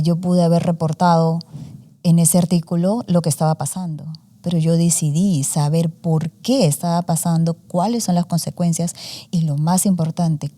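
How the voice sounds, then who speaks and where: Mellow-voiced woman telling her story. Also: on the low side. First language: Spanish. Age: 40s. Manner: warm storytelling with many fillers, mixes in English words. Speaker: interviewee, student journalist. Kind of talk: casual interview conversation.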